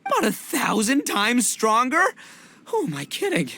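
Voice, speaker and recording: A man voicing a brash, excitable gang boss for a game, bombastic and slangy. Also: very high voice, normal speed, vocal fry, a little hiss or hum, exaggerated voice. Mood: despairing. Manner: bombastic, slangy, stammering when flustered. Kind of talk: animated game voice acting. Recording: music under the voice.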